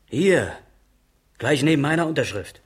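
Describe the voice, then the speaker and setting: An actor playing an insistent male schoolteacher with quiet, prodding authority in a radio drama. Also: fairly high pitch, lively intonation, a little slow, slightly rough. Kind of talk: naturalistic radio drama acting.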